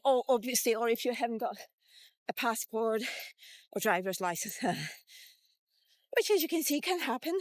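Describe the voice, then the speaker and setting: High-pitched blunt woman in her fifties. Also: dry recording. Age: 50s. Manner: blunt, sweary, rambling. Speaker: vlogger. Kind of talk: casual rant to camera while walking.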